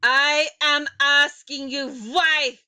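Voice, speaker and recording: Screeching witch queen, very high, loud, dry close mic. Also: animated, slow, no echo, full character voice. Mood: screaming rage. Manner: screaming, spitting rage. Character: furious shrieking ancient female witch queen. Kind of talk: audiobook character voice.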